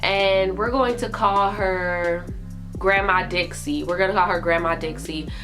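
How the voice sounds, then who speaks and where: A twenty-one-year-old woman tells a storytime vlog in a chatty, filler-heavy way. Her voice sounds congested from a cold. Music plays clearly behind her. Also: on the low side, steady tone, measured pace. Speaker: YouTuber.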